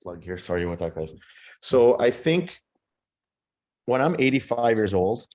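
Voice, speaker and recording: A man in his thirties answering interview questions in a relaxed, reflective way, often repeating words as he gathers his thoughts. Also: mid-range voice, measured pace. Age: 30s. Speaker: podcast guest.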